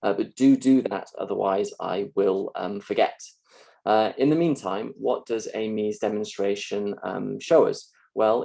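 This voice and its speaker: A man, a university linguistics lecturer, explaining ideas in a measured, calm lecture style. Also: lowish voice, vocal fry. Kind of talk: scripted mock lecture.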